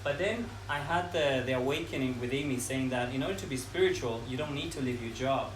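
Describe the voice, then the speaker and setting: A man from Ecuador speaks earnestly to a live audience and lays out his points one by one. He is in his thirties, and the mic sits far from him. The room is echoey and there is noise around him. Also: mid-range voice. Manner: earnest, unhurried, lists ideas one by one. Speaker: speaker at a talk. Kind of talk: informal talk to a live audience.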